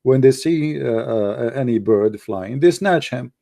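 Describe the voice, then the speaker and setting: Man, native Arabic speaker, gravelly mid-pitched voice, challenging, repetitive, live religious debate host, dry recording. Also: lively intonation, measured pace, dark tone, no echo. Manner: insistent, challenging, repeats himself.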